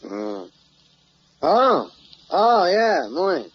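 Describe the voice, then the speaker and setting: An actor playing a put-upon, wisecracking newspaper reporter with slangy, grumbling delivery in a vintage radio drama. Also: male voice, sits high, huge pitch swings, extremely slow, breathy edge, exaggerated voice. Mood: groggy. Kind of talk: 1940s radio drama acting. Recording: muffled, lightly noisy old radio recording.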